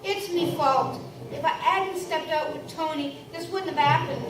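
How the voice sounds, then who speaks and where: A woman's voice: high voice, unhurried, a crowd in the background. A woman playing an anxious young village daughter in a live radio play. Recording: echoey hall from far off, background noise.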